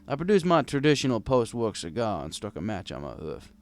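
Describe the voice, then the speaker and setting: A man narrates a tale in the first person as a kindly, world-weary old barkeep. His voice sits fairly low with a gravelly creak, and it moves in lively swings through the scripted lines. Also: fairly quick. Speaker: audiobook narrator.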